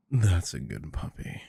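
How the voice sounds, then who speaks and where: A man acts out a dominant, possessive boss in an ASMR roleplay. His voice is very low and gravelly, husky at the edges. He coaxes and commands in a smooth, teasing murmur that slips toward whispers. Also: dark tone, exaggerated voice. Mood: smug, coaxing. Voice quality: deep, gravelly. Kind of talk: intimate ASMR roleplay to the listener.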